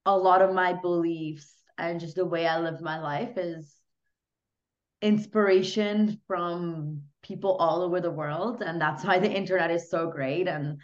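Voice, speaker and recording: Woman from Hungary, podcast guest. Lowish voice, informal and earnest, on dull lo-fi call audio. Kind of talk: casual interview conversation. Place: remote online podcast call.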